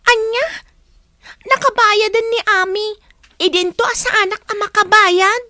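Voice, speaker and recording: A woman's voice: extremely high pitch, unhurried, sharp tone, no room sound, exaggerated voice. A woman playing a whining, demanding schoolgirl daughter in a radio drama. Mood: demanding, upset.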